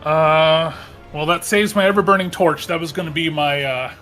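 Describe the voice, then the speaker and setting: Higher, bright-voiced male player. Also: moderate pace, faint music behind. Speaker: tabletop game player. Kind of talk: casual chat during a game.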